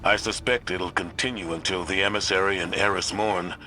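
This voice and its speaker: A grave, authoritative commander from a video game. He briefs formally in a deep, very sharp, forceful voice, with light music underneath. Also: fairly even intonation, fairly quick, rough recording. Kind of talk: video game cutscene acting.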